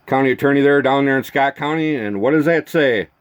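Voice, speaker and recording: A man's voice: medium pitch, a little fast, smooth. A man ranting accusingly at someone who is not there, exasperated, swearing and repeating himself in rhetorical questions. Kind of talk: accusatory rant to camera addressing an absent person.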